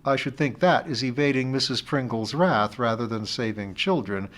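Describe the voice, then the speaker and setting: A man voicing a shrewd, affectionate woman friend who talks briskly on the telephone. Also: mid-range voice, a bit bright, natural voice. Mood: brisk, kindly.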